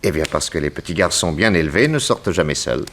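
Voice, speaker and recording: Private detective, very low voice, swooping intonation, composed, self-assured, radio drama. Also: male voice, expressive, fast talker, a bit bright. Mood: kindly. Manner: composed, reassuring, probing with short questions. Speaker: actor playing a detective. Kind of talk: 1960s French radio drama acting.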